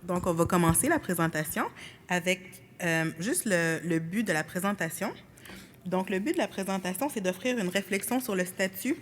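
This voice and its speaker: Young woman reading a formal talk. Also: mid-range voice. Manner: steady, formal delivery. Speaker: co-presenter. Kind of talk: reading a prepared presentation. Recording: reverberant room with faint ambient noise.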